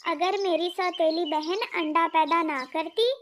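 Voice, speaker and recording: A woman's voice: very high voice, steady tone, a little slow, a bit bright, slight background noise. A woman voicing a jealous young butterfly sister in a children's cartoon, with a plaintive, dramatic manner. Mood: bitter, spiteful. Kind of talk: Hindi children's cartoon dialogue.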